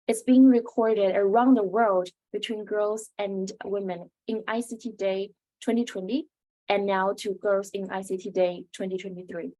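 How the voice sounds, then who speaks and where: A woman's voice: mid-range voice, mellow, rough recording. A young woman speaking as the host of an interview, with a prepared, scripted way of introducing and asking questions. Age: young adult.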